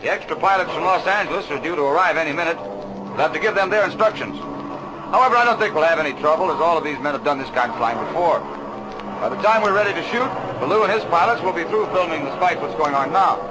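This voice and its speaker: An actor playing a confident film director in a radio adventure serial, formal, precise and upbeat. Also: male voice, on the high side, expressive, fast, lightly acted. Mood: brisk, instructive. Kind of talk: old-time radio adventure serial acting. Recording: noisy old radio recording with engine drone.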